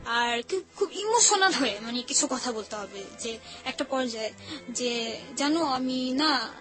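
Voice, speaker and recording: Young woman, Bangladeshi accent, high-pitched voice, colloquial confessional storytelling, music playing behind. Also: lively intonation, measured pace, a bit bright. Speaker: show guest. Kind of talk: candid personal storytelling in casual colloquial talk. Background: background music.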